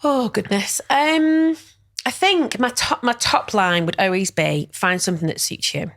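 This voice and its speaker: A woman's voice: medium pitch, animated, measured pace, no room sound, clean studio sound. A middle-aged woman talking as a guest in an interview, chatty and full of fillers. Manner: chatty, warm-hearted, many fillers and "you know". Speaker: guest, wellness event director. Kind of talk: casual interview chat.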